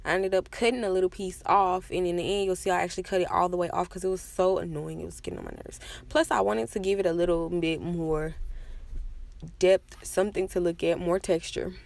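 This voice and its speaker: A woman's voice: lowish voice, fairly even intonation, brisk, creaky, darkish tone. A woman giving a casual, chatty makeup tutorial voice-over with self-deprecating asides. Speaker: makeup vlogger.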